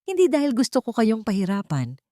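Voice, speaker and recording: A woman's voice: fairly high pitch, huge pitch swings, fairly quick, warm tone, no room sound, voice pushed up. A woman voicing a cold, resolute wronged wife who speaks with composure in an audio drama. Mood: firm, quiet.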